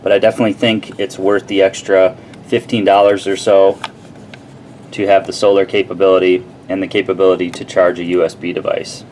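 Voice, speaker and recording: Lower-voiced man reviewing gear, noisy recording. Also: little pitch movement, fairly quick. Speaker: product reviewer. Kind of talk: casual product review and demonstration. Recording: clearly noisy background.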